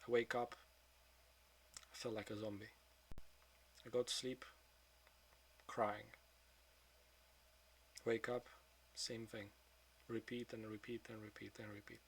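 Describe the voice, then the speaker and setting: A man of twenty-two speaking in a frank, subdued confessional monologue, swearing often and trailing off into quieter mutters. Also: on the low side, flat delivery, takes it slowly, creaky, pauses often, slight background noise, low-quality recording. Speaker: vlogger.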